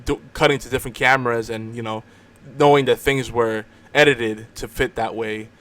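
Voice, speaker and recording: A man's voice: medium pitch, fairly even intonation, moderate pace, a bit bright. A man hosting a podcast in casual chat, talking like an enthusiastic fan. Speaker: podcast host.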